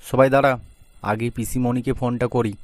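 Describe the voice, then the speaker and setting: A man's voice: medium pitch, flat delivery, a little fast, a little mellow, dry recording, natural voice. A man voicing an earnest teenage boy in a cartoon story. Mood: explaining, practical. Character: teenage boy Abhimanyu, travelling to his aunt's village, earnest. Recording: music behind the voice. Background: background music.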